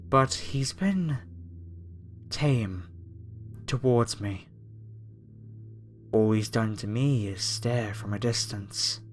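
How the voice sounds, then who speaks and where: A man playing a fanatical masked cultist captor in a horror ASMR roleplay, speaking with reverent, preacherly menace and deliberate pauses. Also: lowish voice, a little hiss or hum. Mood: fervent devotion.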